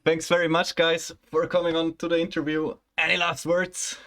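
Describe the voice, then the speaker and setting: A man's voice: on the high side, monotone, normal speed, bright, forceful tone. A man hosting an esports stream, interviewing in an informal, appreciative, chatty way. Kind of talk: casual esports interview chat.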